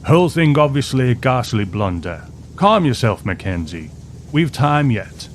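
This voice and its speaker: A man voicing a fussy, politely snobbish elderly gentleman in a storybook reading. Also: mid-range voice, sing-song, a little slow, slight background noise, pitched above the performer's own voice. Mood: flustered, evasive.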